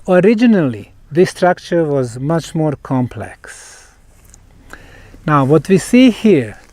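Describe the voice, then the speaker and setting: A man giving a guided talk to a group at a site, speaking in deliberate, emphatic phrases broken by pauses. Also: a little high, darkish tone, no echo. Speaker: researcher and tour guide. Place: outdoors at a megalithic stone site.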